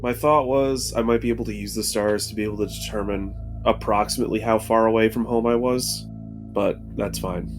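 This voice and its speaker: A man with a low voice plays a tabletop roleplaying game. His talk is casual, with clear music in the background. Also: steady tone, brisk.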